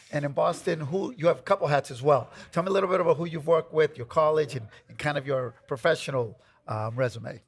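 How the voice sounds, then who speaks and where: A man's voice: on the high side, breathy edge. A man hosting and moderating a panel, upbeat and jokey as he introduces guests and segments. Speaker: host.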